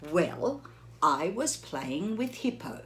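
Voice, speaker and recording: A woman voicing an earnest male elephant who tells his long story slowly and dramatically in a storybook reading. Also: on the low side, big pitch swings, a bit bright, a little hiss or hum, voice pushed down, full character voice. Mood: storytelling.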